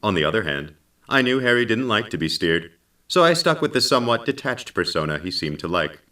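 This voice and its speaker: An actor playing a formal, loyal robot companion who narrates in a measured, detached manner in an audio drama. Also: male voice, on the low side, big pitch swings, bright, forceful tone, recorded from a distance. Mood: calm, detached.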